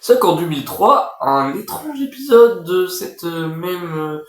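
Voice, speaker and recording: A man's voice: high-pitched, big pitch swings, measured pace. A man giving casual, slangy commentary as the host of a reaction and analysis video. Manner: slangy, informal. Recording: echoey room, music behind. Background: music.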